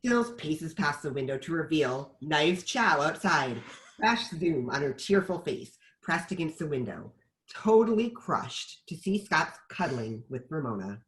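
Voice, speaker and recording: A woman narrates a screenplay's action lines. Her voice is low, and she moves through a wide, lively range of pitch as she reads. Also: a little slow, poor audio. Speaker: stage-direction narrator.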